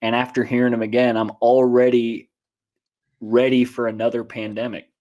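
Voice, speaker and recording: A man's voice: medium pitch, level tone, normal speed, a little mellow, highs cut off. An American man co-hosting a comedy podcast, teasing sarcastically in casual chat.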